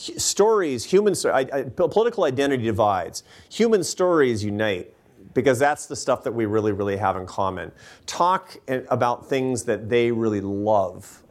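A man giving earnest, emphatic answers to audience questions after a talk, often restarting his sentences. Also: fairly low pitch. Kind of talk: lecture Q&A answers.

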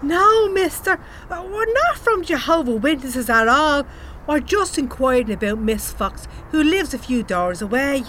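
An actress playing a brisk, down-to-earth Irish woman who leads a misfit gang in a comedy audio drama. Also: high-pitched, sing-song, a bit bright, slight background noise, exaggerated voice. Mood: polite, probing. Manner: bossy, down-to-earth, chatty.

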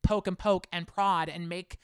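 Sex: woman. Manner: heated, opinionated, fast ranting. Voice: smooth. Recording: dry close mic. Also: fairly low pitch, steady tone, dry recording. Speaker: podcast co-host. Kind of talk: casual chat about reality TV.

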